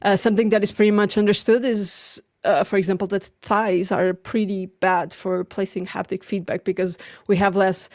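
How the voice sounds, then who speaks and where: A woman's voice: medium pitch, level tone, moderate pace, hardly any pauses, a little mellow, dry recording. A young adult woman with a Portuguese accent, a native Portuguese speaker, giving an informal academic lecture. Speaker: lecturer, PhD researcher.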